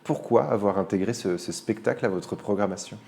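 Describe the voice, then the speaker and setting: Low-voiced man hosting a radio interview. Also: fairly quick, vocal fry, dark tone. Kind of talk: radio magazine interview, prepared questions.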